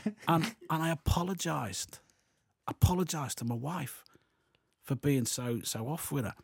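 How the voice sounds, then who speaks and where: A man putting on a gruff southern English bloke. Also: a little high, lively intonation, a little slow, no room sound, studio quality, heavily acted. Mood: wondering. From Surrey. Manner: blunt, matter-of-fact, gruff Cockney-style drawl. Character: chatty southern English bloke, blunt working-class man.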